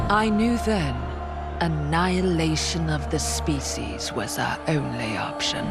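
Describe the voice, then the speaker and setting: A vengeful ancient goddess delivers her threats in a slow, cold, measured way. Her menace is steady and unhurried. A loud orchestral score swells behind her. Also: deep voice, heavily acted. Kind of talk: game cutscene monologue.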